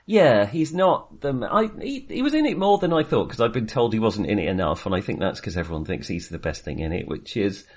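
A man's voice: lowish voice, big pitch swings, a little fast, hardly any pauses, breathy edge. A man co-hosting a casual film discussion, rambling and often correcting himself as he goes. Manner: hesitant, rambling, self-correcting. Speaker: podcast co-host reviewing films.

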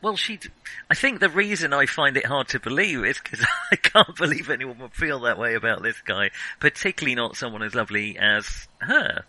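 A man hosting a casual film discussion, talking in a hesitant, halting way as he thinks aloud. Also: mid-range voice, animated, sharp tone, no echo, poor audio. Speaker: film podcast host.

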